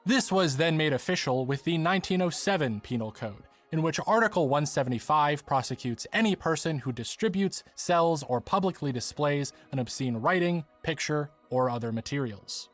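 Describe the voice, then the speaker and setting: A man's voice: a little high, expressive, normal speed, few pauses, fairly bright tone, faint music behind. A man reading scripted documentary narration for a video essay.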